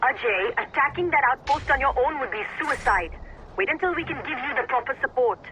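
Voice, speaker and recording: A woman's voice: high-pitched, animated, fairly quick. An actress playing a stern, pragmatic female rebel leader in a video game, firm and reproachful. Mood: alarmed warning. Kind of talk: video game cutscene acting. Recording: dull band-limited radio-like sound, faint noise.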